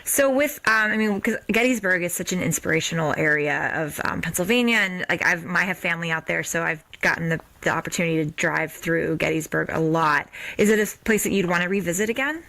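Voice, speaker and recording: American woman interviewer, slightly low voice. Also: fairly quick, creaky, hardly any pauses, sharp tone, poor audio. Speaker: podcast host, interviewer. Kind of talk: casual interview chat.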